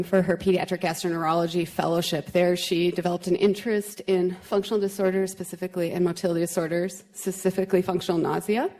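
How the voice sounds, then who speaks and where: A woman giving a formal introduction to a grand rounds speaker, reading prepared remarks with soft fillers in a lecture room. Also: on the low side.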